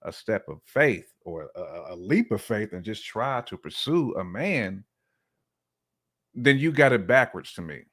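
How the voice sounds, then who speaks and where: A middle-aged man with a fairly low voice talks as a guest in a casual relationship discussion. He explains his thoughts reflectively, in everyday colloquial wording. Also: very animated, unhurried, fairly bright tone. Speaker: podcast guest.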